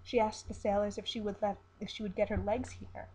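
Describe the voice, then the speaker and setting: Husky-voiced woman narrating a dark tale. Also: mid-range voice, flat delivery, brisk. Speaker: storyteller, narrator of a story show.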